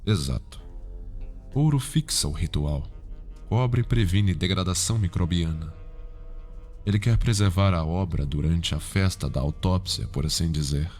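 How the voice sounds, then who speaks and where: Casting: male actor as cultured, sinister killer-consultant, low voice, Brazilian accent, pompous precise delivery, ASMR drama over music. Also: a little slow, a little mellow. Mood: clinical, savoring. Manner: pompous, precise, savoring his words. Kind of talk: ASMR roleplay acting.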